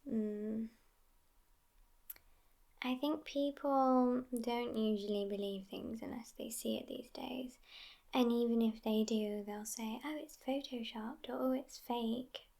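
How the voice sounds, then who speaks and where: A woman playing a sassy, world-weary immortal vampire girlfriend in a whispered ASMR roleplay, soft, breathy and intimate. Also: fairly high pitch, steady tone, slow. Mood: sardonic, amused.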